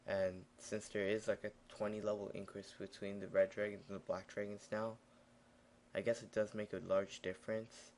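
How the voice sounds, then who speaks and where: Tired raspy young man narrating gameplay. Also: on the low side, flat delivery, moderate pace, a little mellow, slight background noise. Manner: rambling, with many fillers and restarts. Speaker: gaming video narrator. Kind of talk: casual gameplay voiceover.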